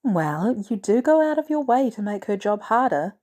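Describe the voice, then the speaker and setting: A woman voicing a poised, caring female executive with composed, gently teasing delivery in a story reading. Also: on the high side, big pitch swings, normal speed. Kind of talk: storybook character dialogue.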